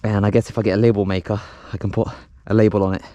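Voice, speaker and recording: A man's voice: lowish voice, level tone, rapid-fire, warm tone. A man casually narrating hands-on home renovation, thinking aloud as he works. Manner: unscripted, thinking aloud while working. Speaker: host of a home renovation vlog. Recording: noisy home recording with clicks.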